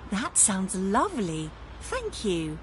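A woman voicing a gentle, cheerful mother pig in a children's cartoon game, kindly and reassuring. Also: mid-range voice, wildly swooping pitch, takes it slowly, noisy background.

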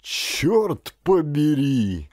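A man voicing an excitable middle-aged explorer father and scientist in an audiobook, exclaiming with rapturous emphasis. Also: on the high side, very slow, no room sound, studio quality, pitched above the performer's own voice, exaggerated voice. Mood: elated, excited. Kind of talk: audiobook character acting.

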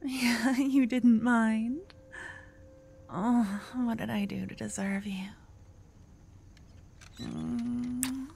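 A woman playing a doting, possessive young girlfriend in an ASMR roleplay, speaking slowly and intimately in a soft, breathy whisper. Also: medium pitch, slight background noise. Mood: tender whisper.

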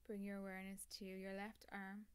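A woman leading a guided meditation as a yoga nidra teacher, calm and soothing, with measured phrases, long pauses and a soft voice that turns breathy at phrase ends. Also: mid-range voice. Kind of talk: guided meditation, scripted instruction.

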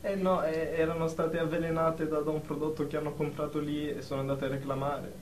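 A man's voice: a little high, little pitch movement, fairly quick, natural voice. Anxious, defensive patient a man acting, echoey recording. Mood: evasive. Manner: hesitant, defensive, pleading. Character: anxious, defensive, short-tempered male patient afraid of sleep. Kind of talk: radio drama acting. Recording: echoey, distant, noisy amateur recording.

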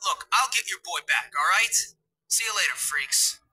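An actor voicing a tough, sarcastic teenage schoolboy in a video game cutscene. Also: male voice, sits high, animated, moderate pace, bright, forceful tone, breathy edge, full character voice. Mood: defiant. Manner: blunt, sarcastic.

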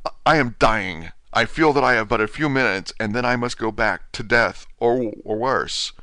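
A man's voice: fairly low pitch, moderate pace, bright, forceful tone, no echo. A man voicing a dying asylum patient, weak and frantic, pleading in urgent gasps. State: weak, near death.

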